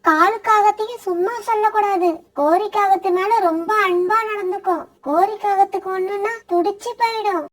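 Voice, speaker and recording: Brief: woman as a nosy sparrow gossip, high and chatty, cartoon. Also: moderate pace. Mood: gossipy. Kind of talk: cartoon voice acting.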